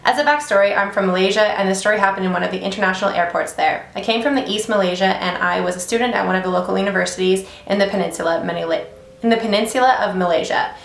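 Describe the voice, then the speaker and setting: A young woman hosts her channel from a small home office, reading a story aloud in a quick, chatty way. She breaks off often for blunt, swearing reactions. Her voice carries a noticeable room echo. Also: medium pitch, vocal fry. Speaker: beauty channel host reading stories. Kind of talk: casual vlog storytelling with asides.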